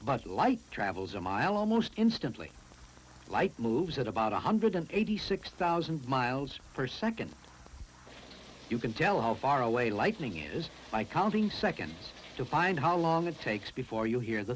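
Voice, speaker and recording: A man reads scripted science narration for a classroom film, explaining in a deliberate, instructive way. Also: medium pitch, sing-song, a little hiss or hum, rough recording.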